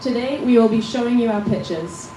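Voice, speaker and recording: A young woman in her late teens gives a rehearsed presentation, setting her points out clearly in numbered order. Her voice is fairly high and mellow, and she sounds distant in an echoing room. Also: normal speed, a little hiss or hum. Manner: clear, numbered, read-through delivery. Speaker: student presenter.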